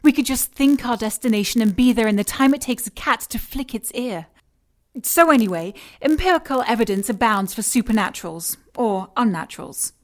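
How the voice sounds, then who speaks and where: An actress playing a cold, seductive scientist in an audio drama, speaking with clinical precision and teasing condescension. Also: female voice, a little high, expressive, a little fast, natural voice. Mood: dismissive, lecturing. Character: cold, mocking, seductive female scientist in charge of experiments. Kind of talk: audio drama acting, sci-fi noir.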